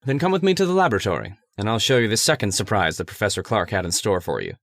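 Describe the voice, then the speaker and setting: A man playing an earnest, persuasive scientist's assistant in old-time radio horror style, speaking formally and deliberately. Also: fairly low pitch, sing-song, a bit bright, dry recording, clean studio sound. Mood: measured, ominous.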